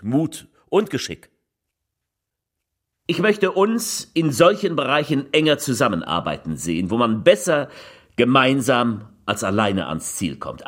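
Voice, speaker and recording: An actor playing an earnest, insistent political orator in a theatrical radio collage, with emphatic rhetorical pauses. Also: male voice, medium pitch, fairly bright tone.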